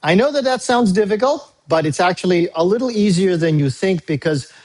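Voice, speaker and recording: A male university English teacher, a native Tagalog speaker, recording a class briefing video; he talks patiently, repeats key dates and fills pauses with "uh". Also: high voice, lively intonation, darkish tone, rough recording. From Philippines. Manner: frequent "uh" fillers.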